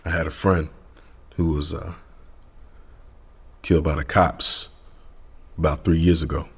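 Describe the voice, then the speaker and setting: An actor playing an intense, embittered young community activist in an audio drama, impassioned and slangy. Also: male voice, rumbling low, little pitch movement, a little slow, husky, big-room sound, heavily acted. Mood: grieving, earnest.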